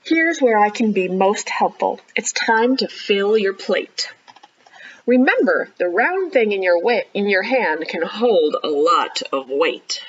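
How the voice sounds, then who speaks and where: A woman children's librarian reading a picture book aloud expressively, with animated, encouraging chatty asides to young listeners. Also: medium pitch, takes it slowly, highs cut off. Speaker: children's librarian hosting storytime.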